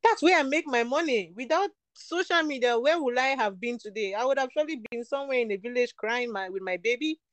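A woman's voice: fairly high pitch, expressive, a little fast, no echo. A young woman from Cameroon, a beauty entrepreneur, talking candidly in an interview, emphatic and repeating her points. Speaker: guest, beauty product entrepreneur. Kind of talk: candid casual interview talk.

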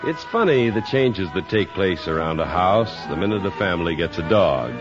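A male radio announcer giving polished, booming scripted narration, on a dull 1950s broadcast recording. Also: lively intonation, moderate pace, music in the background.

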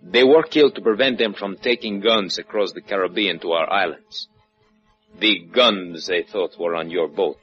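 An actor playing a suave, menacing revolutionary gunrunner with a Spanish accent, courtly and faintly amused in a 1950s radio adventure. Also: male voice, deep voice, moderate pace, lightly acted. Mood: quietly menacing. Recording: dull 1950s radio recording, music behind.

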